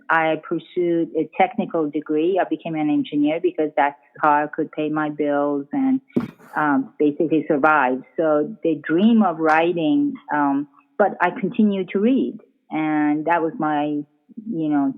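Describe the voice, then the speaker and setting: A middle-aged woman from Iran, speaking English as a later language, talking hesitantly with many pauses as a guest author. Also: sits low. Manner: hesitant, many ums, laughs easily. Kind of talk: casual interview chat. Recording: tinny phone-like line.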